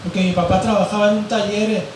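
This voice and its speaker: A hoarse man from Mazatlán, preaching a sermon and often stopping with "eh" and "¿verdad?". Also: high voice, level tone, fast, noisy background. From Mexico, grew up in Mazatlán. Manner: rambling, fills with "eh" and "¿verdad?". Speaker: pastor. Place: large echoey church hall. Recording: very echoey hall from far off.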